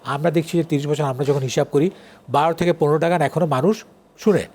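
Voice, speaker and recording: A man's voice: a little high, fast, darkish tone. An older man with a Bangladeshi accent talks casually as an interview guest, explaining in an engaged, conversational way and checking that the listener follows. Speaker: guest, song lyricist and writer.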